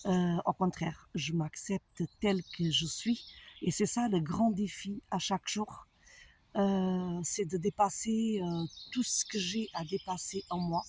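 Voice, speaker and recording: A woman with a foreign accent, a holistic therapist talking to her followers in a slow, halting way with many pauses and fillers. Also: lowish voice, a little mellow, slight background noise. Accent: foreign accent in French. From abroad, not France. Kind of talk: personal monologue to followers.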